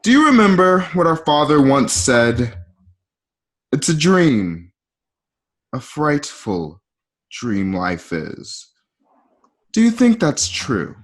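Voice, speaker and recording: A man plays a brooding young emperor in an amateur radio drama. He is possessive and menacing, lingering over his words with a very mobile pitch and theatrical deliberation. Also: big pitch swings, takes it slowly, pauses often, a bit bright, heavily acted. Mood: brooding, intimate.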